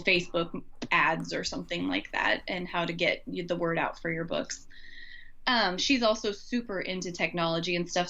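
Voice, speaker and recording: A woman's voice: lowish voice, fairly bright tone, highs cut off. A woman chatting informally and enthusiastically, recommending things in casual conversation.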